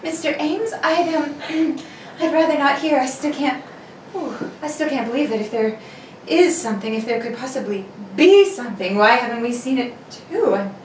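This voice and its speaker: A woman's voice: high-pitched, moderate pace, close to an everyday voice. A woman playing a prim, skeptical, easily flustered schoolteacher in a 1940s-style suspense radio drama, with theatrical delivery. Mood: flustered, uneasy. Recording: roomy, distant, faint background noise.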